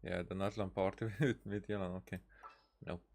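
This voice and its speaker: A man's voice: low-pitched, steady tone, a little fast, creaky, darkish tone. A man doing casual let's-play commentary, reading game dialogue aloud in a hesitant way with many "uh"s and restarts.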